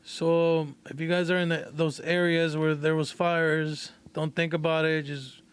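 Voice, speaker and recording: A man's voice: a little high, level tone, unhurried, smooth. A young man co-hosting a podcast, chatting casually with slang and swearing. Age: young adult. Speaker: podcast co-host.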